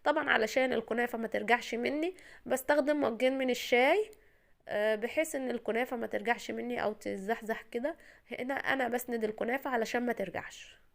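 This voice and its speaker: An Egyptian woman hosts a home cooking tutorial at a brisk clip, her voice pitched a little high. She sounds tired from a cold, yet stays chatty and reassuring. She speaks straight to the viewer, step by step. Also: vocal fry. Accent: Egyptian Arabic. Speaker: home cooking video host.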